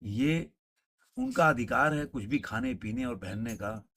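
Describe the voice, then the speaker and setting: A man giving unscripted opinion commentary, talking conversationally and haltingly, often repeating and rephrasing his points. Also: medium pitch, expressive, fairly bright tone, husky. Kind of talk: unscripted opinion talk on current affairs.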